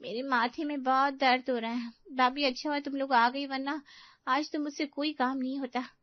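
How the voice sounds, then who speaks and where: A woman's voice: sits high, steady tone, normal speed, dry recording, muffled recording, natural voice. A woman playing a self-assured, crafty married sister in a story, with an assertive, unbothered manner. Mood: complaining.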